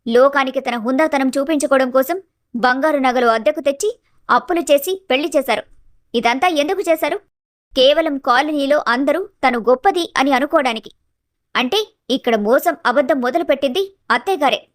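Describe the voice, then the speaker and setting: Woman acting a very high-pitched, bold daughter-in-law. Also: normal speed, no room sound, studio quality, lightly acted. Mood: firm, confronting. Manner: firm, confronting. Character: bold, clear-headed young daughter-in-law exposing hypocrisy.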